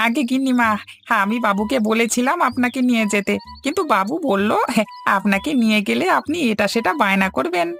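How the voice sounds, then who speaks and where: A woman voicing a sly young male servant in a cartoon, talking quick and fawning. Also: on the high side, vocal fry, fairly bright tone, soft background music. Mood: eager. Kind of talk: Bengali cartoon voice acting.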